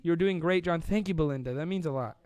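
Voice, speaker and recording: A man's voice: fairly high pitch, quick pace, darkish tone, no echo. A young man hosting a casual live online show, rambling and playful, laughing often as he reads out viewer comments. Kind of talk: casual live-stream chat.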